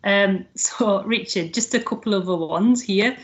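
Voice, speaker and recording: A woman chairing an online council meeting, reading out questions in a measured way with some fillers. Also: mid-range voice. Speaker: panel chair. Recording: dull lo-fi video call audio.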